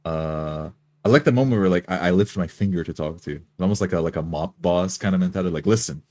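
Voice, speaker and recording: A man's voice: deep voice, fast talker, mellow. A man who plays tabletop roleplaying games, talking casually about his character. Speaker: tabletop game player.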